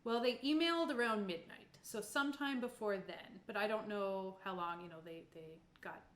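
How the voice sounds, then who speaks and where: A woman's voice: mid-range voice, moderate pace, fairly bright tone, close to an everyday voice. A woman playing an anxious, uncertain male theatre owner who hesitates and rambles. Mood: worried, unsure. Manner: hesitant, rambling, self-correcting. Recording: roomy, echoey sound.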